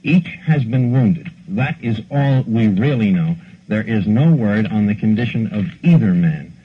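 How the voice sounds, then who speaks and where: A man's voice: fairly low pitch, expressive, measured pace. Muffled archival recording of male news anchor. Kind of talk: 1960s live breaking news bulletin. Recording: muffled, noisy 1960s archival broadcast audio.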